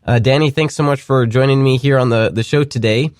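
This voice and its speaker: A man's voice: mid-range voice, steady tone, brisk, clean tone, no echo, studio quality. A man hosting a talk podcast, speaking casually with many fillers and restarts. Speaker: podcast host. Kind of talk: casual talk show commentary.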